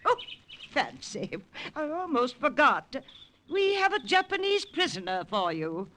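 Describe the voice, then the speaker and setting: An actress playing a prim, brisk, genteel missionary widow and secret agent in a 1950s radio drama. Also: female voice, high voice, sing-song. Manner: formal, genteel, matter-of-fact. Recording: noisy old radio broadcast.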